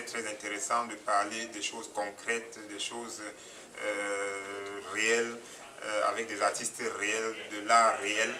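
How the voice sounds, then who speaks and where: Earnest man, far off, crowd. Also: lowish voice. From Cameroon. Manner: hesitant, many fillers and restarts, earnest. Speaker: audience member praising a documentary. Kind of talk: spontaneous public comment. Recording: distant mic with crowd noise.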